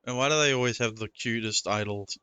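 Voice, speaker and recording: Casting: male livestreamer, medium pitch, crisp and bright, informal and sweary, reacting to a game. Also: fairly quick, soft background music. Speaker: game streamer. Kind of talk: casual live gameplay commentary.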